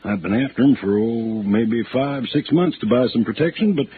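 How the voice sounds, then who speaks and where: Actor as hearty older insurance agent, thin radio sound. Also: male voice, mid-range voice, animated, normal speed, narrow, tinny audio, close to an everyday voice. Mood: folksy, gossipy. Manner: folksy, hearty, garrulous. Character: stocky, folksy insurance agent in his late fifties. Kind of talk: 1950s radio drama acting. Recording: band-limited old radio, faint noise.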